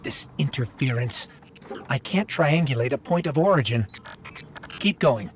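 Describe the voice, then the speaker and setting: A man's voice: medium pitch, lively intonation, a little slow, loud music behind the voice, lightly acted. A man playing an anxious, earnest little robot companion in a video game, with a worried, urgent way of talking.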